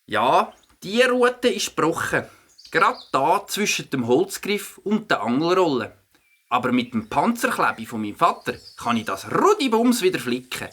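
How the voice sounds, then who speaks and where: A woman playing an eager, helpful young boy with a slightly raspy voice and a Swiss German accent in a children's audio play. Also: low voice, big pitch swings, normal speed, heavily acted. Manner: bright, eager.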